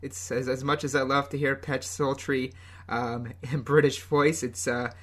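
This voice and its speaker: A young man from the United States co-hosts a casual soccer podcast in a mid-range voice. He talks in a relaxed, loose way, often pausing on ums and restarting his sentences. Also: mid-range voice. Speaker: podcast co-host.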